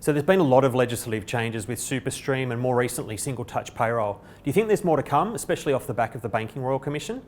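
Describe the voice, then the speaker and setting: A man's voice: mid-range voice, animated, quick pace, few pauses, slight background noise. A man hosting a corporate interview, putting prepared questions to a guest. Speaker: interviewer, host.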